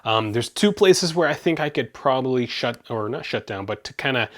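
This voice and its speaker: A man's voice: mid-range voice, lively intonation, normal speed. Chatty Canadian-raised man rambling on. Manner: chatty, rambling, frequent self-corrections and fillers. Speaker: tech reviewer, channel host. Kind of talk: casual talk to camera.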